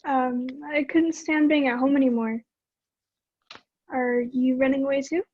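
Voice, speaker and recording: A woman's voice: sits high, fairly even intonation, measured pace. A young woman in an amateur stage play, acting a blunt, impatient teenage runaway with a dry, unimpressed manner. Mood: unimpressed, curious. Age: young adult. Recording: dull lo-fi sound.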